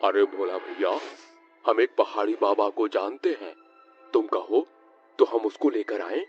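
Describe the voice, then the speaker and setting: A man's voice: lowish voice, measured pace, breathy edge. A man playing a rustic villager who speaks in country dialect in a cartoon horror story. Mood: earnest. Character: rustic male villager, scolding or conspiratorial. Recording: muffled lo-fi audio with music behind.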